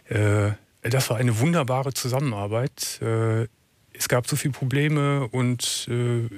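Calm middle-aged male radio host. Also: mid-range voice, measured pace, dry recording. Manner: calm, reassuring, speaks with repeated restarts. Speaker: late-night call-in radio host. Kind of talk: call-in radio talk. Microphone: studio microphone.

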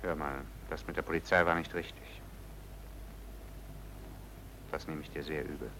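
Deep-voiced, cynical charming racketeer. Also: male voice, fairly even intonation, fast talker, gravelly, pushed and bright, exaggerated voice. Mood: wary. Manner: theatrical, nonchalant, swinging from muttering to declaiming. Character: suave, cynical male racketeer, smugly amoral and jovial. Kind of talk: vintage German radio play acting. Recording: noisy vintage radio play recording.

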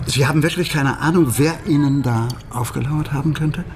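An actor playing a polite, persistent police detective in a radio crime drama, probing in slightly hesitant officialese. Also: male voice, on the high side, moderate pace, noisy background, close to an everyday voice.

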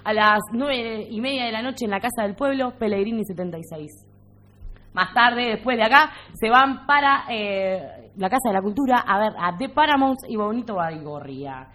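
A woman's voice: a little high, animated, moderate pace, a bit bright. A woman with an Argentine accent co-hosting a radio show, chatting casually and announcing events in an informal, playful way. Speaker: radio co-host.

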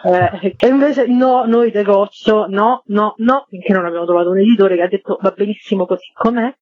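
A woman guest talks through her book in a lively, chatty way. The radio sound is dull and dry, with no room around her voice. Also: mid-range voice, a little fast, dry recording. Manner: lively, chatty, laughs while talking. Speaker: author guest. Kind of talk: radio interview.

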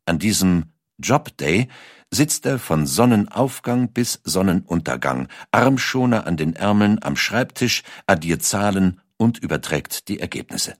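A man's voice: low-pitched, expressive, unhurried, no echo, clean studio sound. A man reads scripted documentary narration as an audiobook narrator.

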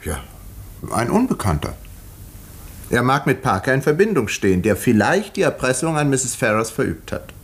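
An actor playing a composed, educated doctor in a 1950s German radio mystery, speaking in a measured, dry and precise way. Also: male voice, mid-range voice, sing-song, breathy edge. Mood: thoughtful, reserved. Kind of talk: 1950s German radio drama narration and dialogue.

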